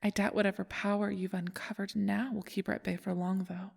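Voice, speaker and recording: A woman playing a calm, knowing ally in an ASMR audio roleplay, whispering with teasing encouragement. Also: mid-range voice, brisk, vocal fry, husky. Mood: wry, encouraging. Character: calm, knowing female ally coaxing someone onward.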